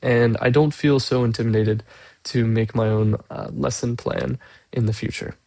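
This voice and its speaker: A man's voice: mid-range voice, fairly even intonation, moderate pace, vocal fry, mellow, dry recording. A young man with a stuffy, congested nose from a cold, hesitantly walking through a lesson he built, with many "uh" fillers, recorded as a voice-over on a computer mic. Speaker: education student presenting a lesson. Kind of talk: screen-recorded lesson walkthrough. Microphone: computer mic, voice-over on screen recording.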